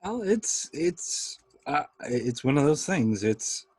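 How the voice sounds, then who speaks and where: Slow, halting bass-voiced man. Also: sing-song, darkish tone, breathy edge, no room sound. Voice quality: deep bass voice. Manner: halting, many fillers and restarts, laughs often. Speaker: podcast host. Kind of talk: casual interview chat.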